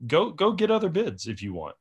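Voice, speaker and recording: A man's voice: high voice, huge pitch swings, a little fast, breathy edge, dull audio. A man who runs a tree service, chatting casually and telling stories from work. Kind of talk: casual chat, storytelling from work.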